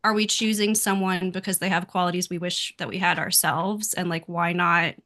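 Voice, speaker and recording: A woman's voice: lowish voice, flat delivery, normal speed, sharp tone. A woman on a podcast panel, casually discussing a television show.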